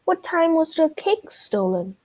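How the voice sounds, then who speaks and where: A woman's voice: very high voice, big pitch swings, measured pace, voice pushed up, exaggerated voice. A woman voicing a confident, inquisitive male duck detective in a children's storybook. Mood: inquisitive, triumphant. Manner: inquisitive, explanatory, exclaiming.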